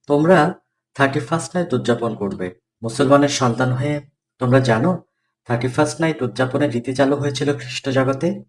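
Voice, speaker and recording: A man's voice: medium pitch, natural voice. A man playing a pious, earnest father in a children's moral cartoon, didactic and solemn. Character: pious, earnest father admonishing his son's young friends.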